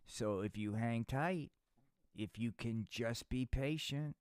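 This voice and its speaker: A man's voice: mid-range voice, slow, darkish tone, clean tone, dry recording, studio quality. A man hosting a live puppet stream, talking off the cuff in a slangy, profane way and tacking "man" onto his sentences. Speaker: puppeteer host. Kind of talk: unscripted live stream banter.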